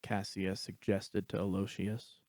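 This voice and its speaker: A man's voice: sits low, little pitch movement, measured pace, a little mellow, dry recording, studio quality. A man reading a scripted story aloud as its narrator.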